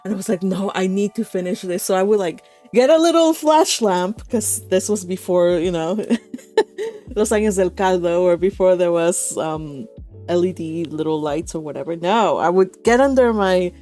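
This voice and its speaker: A woman's voice: fairly low pitch, animated, normal speed, dark tone, soft background music. A woman hosting an online read-along, chatting casually and giggly, with rambling anecdotes. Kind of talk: casual group call chat. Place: online voice call.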